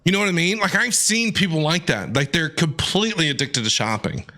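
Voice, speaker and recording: A man's voice: a little high, expressive, brisk, pushed and bright. A man streaming a game with casual, chatty, informal reaction commentary. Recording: game music behind the voice.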